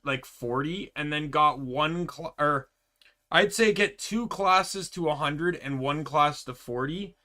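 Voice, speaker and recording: Bright, higher-pitched man streaming a game. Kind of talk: casual gaming commentary.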